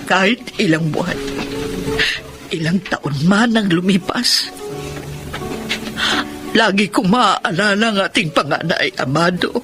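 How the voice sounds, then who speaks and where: A woman's voice: mid-range voice, measured pace, long pauses, music in the background, lightly acted. A woman with a Filipino accent acting an anguished, grieving middle-aged mother in an emotional, tearful radio drama style. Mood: sorrowful, reflective. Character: grieving mother of a dead eldest son, anguished.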